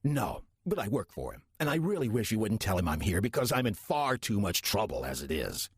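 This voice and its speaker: Casting: male actor for sardonic demon posing as a man in his sixties, audio drama. Deep, rough-edged, deadpan, closely recorded. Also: dry recording. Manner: dry, deadpan, unhurried sarcasm. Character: wry demon posing as a 63-year-old church usher. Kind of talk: audio drama acting with first-person narration.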